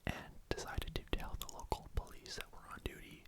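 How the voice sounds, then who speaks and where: A host telling true crime stories in a breathy, unvoiced ASMR whisper, informal and conversational, close to the microphone. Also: normal speed. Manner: informal, conversational, hushed narration.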